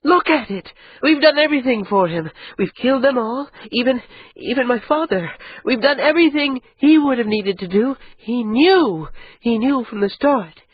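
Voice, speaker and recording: A man voicing Ida'an, an anguished young noblewoman who is sharp and bitter, speaking in a high, strained voice. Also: animated, normal speed, husky, heavily acted. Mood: desperate. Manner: high strained pleading, cutting sarcasm. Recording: dull lo-fi recording.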